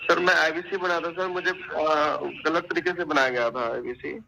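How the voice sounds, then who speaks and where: A man on a recorded phone call making a complaint, recounting his account in a deferential, repetitive, halting way. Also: fairly high pitch, steady tone, gravelly, pushed and bright.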